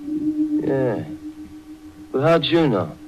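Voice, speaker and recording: A man's voice: fairly high pitch, huge pitch swings, drawn-out words, music in the background, exaggerated voice, poor audio. An actor playing a tough, suspicious young street guy with a hot temper and slangy, snappish talk in 1940s radio drama style. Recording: old 1940s radio recording.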